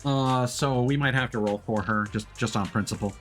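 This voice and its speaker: A man's voice: medium pitch, normal speed, a bit bright, faint music behind. A man giving casual, rambling livestream commentary with joking asides while he plays a game. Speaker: game streamer.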